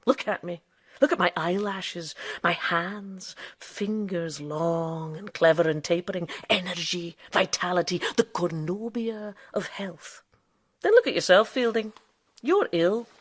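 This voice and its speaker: A woman's voice: on the low side, measured pace, dry recording, studio quality. An actress in a radio drama playing an impudent, boastful female quack doctor who lives as a man. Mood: smug, seductive. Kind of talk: radio drama acting with narration.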